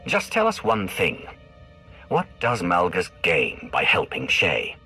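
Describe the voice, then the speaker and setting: A man's voice: low-pitched, huge pitch swings, bright, forceful tone, slightly rough, faint music behind, heavily acted. A man playing a coolly menacing Sith in a video game, speaking with controlled authority. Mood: probing. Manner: controlled, authoritative, measured threats. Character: commanding, coolly menacing male Sith agent. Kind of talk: video game cutscene acting.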